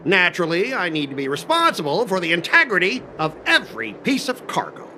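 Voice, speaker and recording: A man's voice: high-pitched, huge pitch swings, a little fast, pushed and bright, a little hiss or hum, full character voice. A man voicing a stubborn, blustering corporate cargo officer in a video game, indignant, officious and argumentative. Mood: scornful, blustering. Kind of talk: animated video game voice acting.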